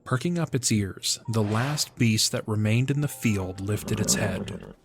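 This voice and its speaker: Low-voiced man narrating a story. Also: a little slow, gravelly, music in the background.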